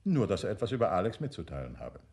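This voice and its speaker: Calm probing gentleman detective, radio crime play, husky lowish male voice, politely firm. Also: exaggerated voice. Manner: measured, inquisitive, politely firm. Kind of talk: German radio crime drama acting.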